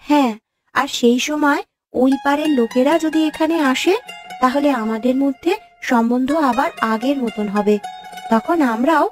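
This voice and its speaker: A woman's voice: high voice, moderate pace, faint music behind, lightly acted. A woman voicing a polite, earnest village girl in a fairy-tale cartoon. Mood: hopeful, suggesting.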